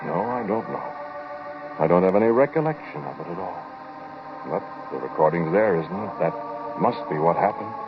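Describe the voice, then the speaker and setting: A low-voiced, haunted scientist confides his story in a brooding, halting way. It is a 1940s radio drama monologue, muffled with age, with music behind it. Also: lively intonation. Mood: haunted, uneasy. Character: haunted, uneasy male scientist recounting his ordeal.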